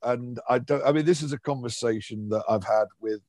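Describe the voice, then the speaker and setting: A man's voice: medium pitch, a little fast, a little mellow, no echo. A middle-aged man from Liverpool chatting casually as a comedian guest, with a wry, opinionated and rambling manner. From Liverpool, England. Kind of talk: casual conversational commentary.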